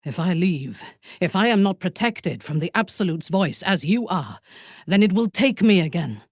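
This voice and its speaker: A woman playing a proud, ruthless dark elf noblewoman in a video game, speaking formally and deliberately with a sardonic, coolly menacing manner. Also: lowish voice, animated, dry recording, studio quality. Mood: grave, intense. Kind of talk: video game character voice acting.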